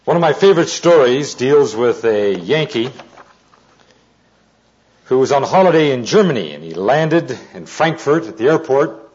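A man giving an informal sermon with anecdotes, telling them deliberately with pauses and dry humour. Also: medium pitch, big pitch swings, a little mellow. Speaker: preacher, Bible teacher. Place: speaking live to an audience. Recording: dull, muffled lo-fi recording.

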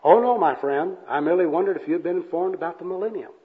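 Man acting a fervent old gospel worker, pitched up. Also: fast talker, narrow, tinny audio, lightly acted. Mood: friendly, pompous. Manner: fervent, florid preachy delivery. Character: kindly old male gospel worker, pious, jargon-spouting, fervent. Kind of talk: amateur dramatic reading of a comic skit.

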